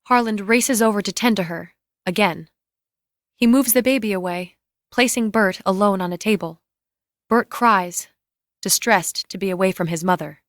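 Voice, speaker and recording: Lady reading out screenplay style scene directions, plain and clipped, mid pitch, dry close mic. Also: normal speed, no room sound. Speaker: reader of stage directions. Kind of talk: scripted present-tense scene narration.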